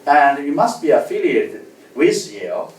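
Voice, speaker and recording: A man gives a university lecture as a guest lecturer, telling stories in an animated way with many fillers and restarts. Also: mid-range voice, measured pace, slight background noise. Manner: lively storytelling, frequent fillers and restarts. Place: lecture hall. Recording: echoey hall, distant mic.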